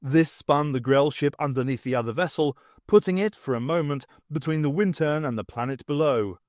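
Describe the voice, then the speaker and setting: A man's voice: on the high side, fairly quick, darkish tone, no room sound. Dry, close-sounding male audiobook narrator. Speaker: audiobook reader. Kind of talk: scripted dramatic narration of a science fiction novel.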